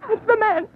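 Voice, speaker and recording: A hysterical teen girl in an old radio cop drama, super high shrieky voice, muffled recording. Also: background noise, heavily acted. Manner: shrill, panicked, sobbing. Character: terrified young girl babysitter attacked by a man. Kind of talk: 1950s radio crime drama acting.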